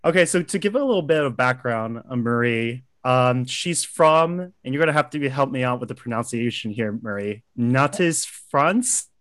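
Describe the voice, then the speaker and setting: A man's voice: medium pitch, expressive, normal speed, few pauses, a bit bright, dry recording. Male podcast host, casual and upbeat, dry close mic. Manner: upbeat, friendly, fillers. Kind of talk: podcast interview.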